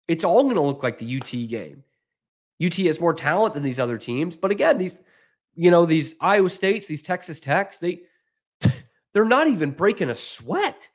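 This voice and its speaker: A young man hosting a live postgame sports podcast, ranting in an exasperated, sarcastic way and talking straight to his viewers from a small room at home into a desk microphone. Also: high voice. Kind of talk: postgame analysis, casual rant. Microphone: desk microphone in front of him.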